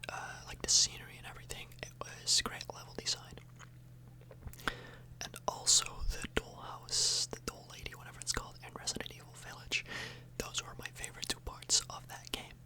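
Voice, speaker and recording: A woman speaking in a breathy, unvoiced whisper close to the microphone, rambling informally and a little hesitantly in an ASMR style. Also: a little fast, poor audio. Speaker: ASMR video host.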